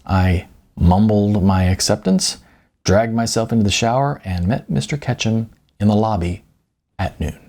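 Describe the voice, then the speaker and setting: A man with a gravelly voice, an author narrating his own audiobook in an informal way. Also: lowish voice, moderate pace, darkish tone.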